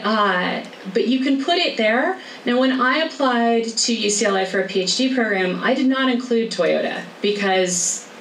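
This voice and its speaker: A woman speaks in a brisk, chatty way as she leads a workshop. She is heard from a distance in an echoey lecture room. A faint hiss sits under her voice. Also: medium pitch, a bit bright. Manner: brisk, chatty, laughs easily. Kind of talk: informal talk with Q&A.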